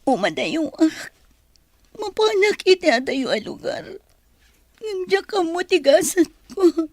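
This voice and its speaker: Radio soap role: grieving young Filipino mother, tearful and pleading, slow delivery, close dry sound. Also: very high-pitched, very animated, no echo, exaggerated voice. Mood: sorrowful, pleading. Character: sorrowful young mother giving up her baby.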